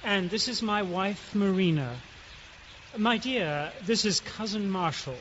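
A man playing a jovial, effusive middle-aged country gentleman with a British accent in an audio drama. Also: high-pitched, measured pace. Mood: hearty, welcoming. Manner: hearty, genial, effusive. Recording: dull, reverberant, faintly noisy recording.

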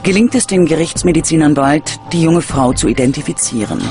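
A man's voice: on the high side, brisk. A man reading scripted true-crime documentary narration. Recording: loud music under the voice. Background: loud background music.

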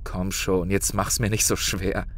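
A man's voice: deep voice, little pitch movement, unhurried, fairly bright tone. A man playing an anxious but friendly reality-show contestant in a horror audio drama, coaxing and stammering.

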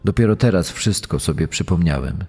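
An actor playing an accused killer giving grave, deliberate, self-justifying testimony in a true crime audio drama. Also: male voice, low-pitched, expressive, dark tone, breathy edge, faint music behind, exaggerated voice. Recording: long echoing hall reverb.

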